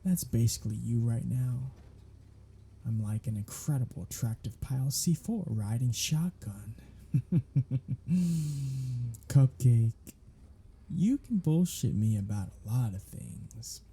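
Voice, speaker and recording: A sardonic male vampire, played by a man in an ASMR audio drama, bantering and flirting at an unhurried pace. His voice is dark and gravelly, with a husky, breathy grain. The pitch swings freely as he teases. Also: exaggerated voice.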